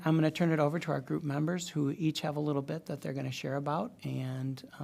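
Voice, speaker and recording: A man's voice: on the high side, gravelly. A man facilitating a community group, introducing its presentation to a school board in a chatty, emphatic, hedging way. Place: public meeting room.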